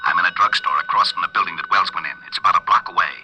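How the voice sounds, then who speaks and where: A man playing a gruff, businesslike police lieutenant in old-time radio style, clipped and official. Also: deep voice, rapid-fire, lightly acted, low-quality recording. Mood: businesslike, concerned. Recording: hissy vintage radio recording.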